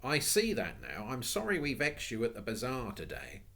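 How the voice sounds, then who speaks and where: A man's voice: medium pitch, wildly swooping pitch, moderate pace, a bit bright, pitched above the performer's own voice. A man voicing a sensible, cheerful young boy.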